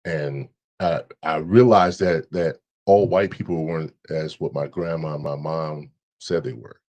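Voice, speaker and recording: A man with a deep voice, a podcast guest talking in a relaxed, halting way, with many restarts and repeated words. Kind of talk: casual conversation.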